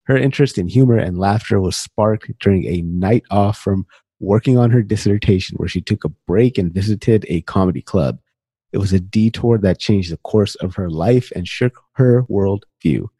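A man's voice: on the low side, dark tone, husky, dry recording. A man hosting a podcast interview, reading an upbeat scripted introduction and then asking friendly questions. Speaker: podcast host.

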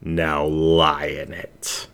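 Unseen avenger for a story reading, played by a male narrator: deep, very slow, deliberate, menacing. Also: monotone, vocal fry, fairly bright tone, smooth, exaggerated voice. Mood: accusing. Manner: slow, drawn-out, taunting.

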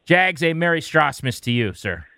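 Bright, forceful male sports radio host. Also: a little high, big pitch swings, normal speed, gravelly, smooth, no room sound, clean studio sound. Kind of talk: sports talk radio interviewing and analysis.

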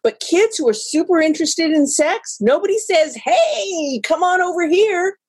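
A woman sex educator as interview guest, giving opinions in an animated, playful way. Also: extremely high pitch, a little slow, no echo. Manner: animated, playful, acts out quoted voices.

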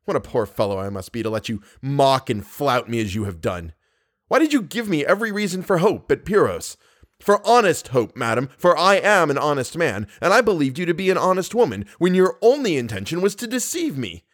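A man playing a jealous, hot-headed young gentleman in an audiobook, declaiming with theatrical passion and bitter reproach. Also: medium pitch, big pitch swings, normal speed, natural voice. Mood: bitter, wounded. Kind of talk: audiobook character voice.

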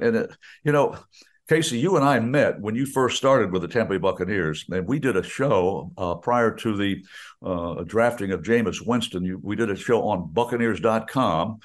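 An older man, a veteran radio announcer, chatting in a folksy, enthusiastic way and often restarting his sentences. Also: lowish voice. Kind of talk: casual chat on a radio show.

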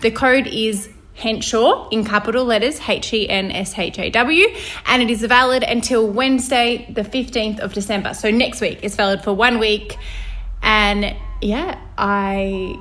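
A woman's voice: fairly high pitch, fairly even intonation, a little slow, fairly bright tone. A young woman co-hosting a podcast in casual chat, talking chattily, laughing often and restarting her sentences. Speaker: podcast co-host.

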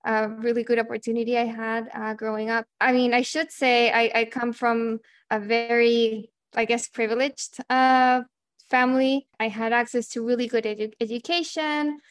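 A woman's voice: a little high, little pitch movement, normal speed, smooth, no room sound, clean studio sound. Rambling woman guest from Chile. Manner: rambling with restarts and many "like"s. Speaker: guest, journalist. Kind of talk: interview.

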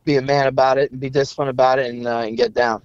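A man in his thirties, a guest fighter chatting casually, halting and repeating words with many fillers. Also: mid-range voice, level tone, clear, resonant tone, dry recording, lo-fi sound. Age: 30s. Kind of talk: casual podcast chat.

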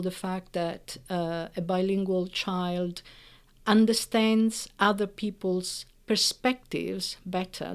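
A woman whose first language is Italian, a researcher explaining the benefits of bilingualism in an interview, speaking carefully with frequent pauses and fillers. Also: medium pitch. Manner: measured, explanatory, hesitant fillers.

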